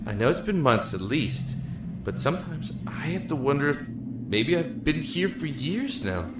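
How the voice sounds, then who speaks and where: An actor playing an earnest, anguished young man locked in a dark cell, speaking haltingly and emotionally in an audio drama. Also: on the high side, big pitch swings, roomy sound, background noise. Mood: weary, bitter. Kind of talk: audio drama acting and first-person narration. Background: music.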